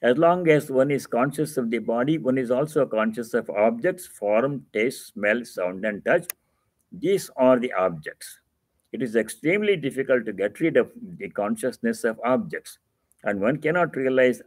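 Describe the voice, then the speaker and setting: Male monk, medium pitch, teaching from scripture; emphatic, instructive delivery with repeated key phrases, closely recorded with little room sound. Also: a little slow, no room sound. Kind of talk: religious discourse, reading aloud and explaining.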